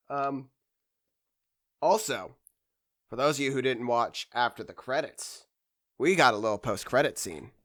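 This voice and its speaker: A man's voice: medium pitch, a little slow, long pauses, a bit bright. Rambling man in casual fan commentary. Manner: rambling, hesitant, losing his train of thought. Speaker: anime discussion video host.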